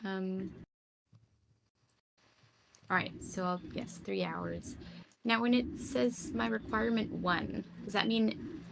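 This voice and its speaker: Slow, creaky-voiced woman playing a tabletop game. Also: mid-range voice. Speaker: player in a tabletop roleplaying game. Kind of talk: casual in-game chat. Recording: clearly noisy mic with clicks.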